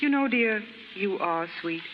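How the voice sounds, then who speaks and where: An actress playing a poised, genteel and coolly sweet society woman in a 1930s radio drama, refined and politely measured. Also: mid-range voice, expressive, background noise, lightly acted. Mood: tender. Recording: muffled 1930s radio recording with music.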